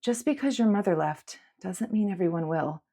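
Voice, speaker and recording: A woman voicing a caring, patient woman who reassures with gentle insistence in a dramatic audiobook. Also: medium pitch, animated, normal speed, vocal fry. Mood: reassuring. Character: caring, patient woman comforting an upset girl. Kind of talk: dramatic audiobook character acting.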